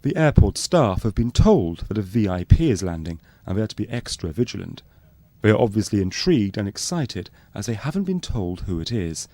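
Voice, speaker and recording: A man's voice: on the low side, big pitch swings, brisk, warm tone, dry recording. A man reading scripted narration for a spoof fly-on-the-wall documentary.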